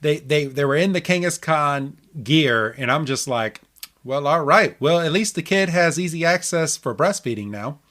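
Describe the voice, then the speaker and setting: A man's voice: a little high, lively intonation, moderate pace, a bit bright. A man on a podcast panel, casually recapping and joking along. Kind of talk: casual episode recap discussion.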